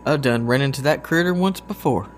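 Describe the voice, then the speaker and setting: An actor with a Southern US accent playing a goofy, good-natured country man in an audio drama, talking in a folksy, drawn-out way. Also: mid-range voice, a little hiss or hum, lightly acted. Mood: animated storytelling. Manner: folksy, drawn-out, excitable storytelling. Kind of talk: scripted audio drama dialogue.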